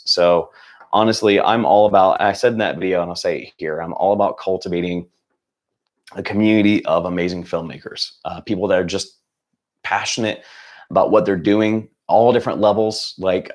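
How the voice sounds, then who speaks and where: A young man hosting a casual livestream, hoarse and close to losing his voice, talking in an upbeat, rambling way with lots of fillers and restarts. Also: low-pitched. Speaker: channel host.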